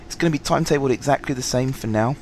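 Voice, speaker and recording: A man with a sore throat, slightly husky, casually commenting on a game through a headset, rambling and apologetic with many ums. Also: mid-range voice, racing, darkish tone, slight background noise. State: sore throat, fighting coughs. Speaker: gaming channel host. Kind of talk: casual let's-play commentary.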